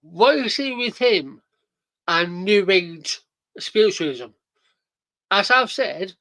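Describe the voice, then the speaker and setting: Podcast host, slow and bright, rambling profane reaction chat with fillers. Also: medium pitch, lively intonation, no room sound.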